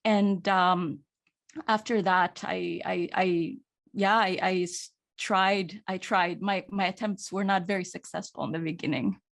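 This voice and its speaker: A woman's voice: on the low side, fairly even intonation, a little slow, gravelly. A woman from Saudi Arabia with a Saudi Arabian accent, an actor and audiobook narrator talking thoughtfully in an interview, often restarting her sentences. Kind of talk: casual interview talk.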